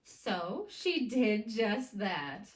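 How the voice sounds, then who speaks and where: A woman reading a picture book aloud to children, expressively and with deliberate emphasis. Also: mid-range voice, big pitch swings. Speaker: children's read-aloud teacher. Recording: roomy, echoey indoor sound.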